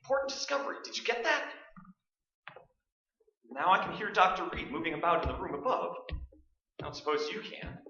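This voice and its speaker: Man acting a jittery, high-voiced radio broadcaster, echoey hall. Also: very animated, normal speed, long pauses, sharp tone, slight background noise, exaggerated voice. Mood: uneasy, chatty. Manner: jittery, rambling, stammering. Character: nervous, chattering radio broadcaster alone in a haunted house. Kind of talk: staged radio play acting. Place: large hall stage, staged performance. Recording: very echoey stage recording from far off, muffled.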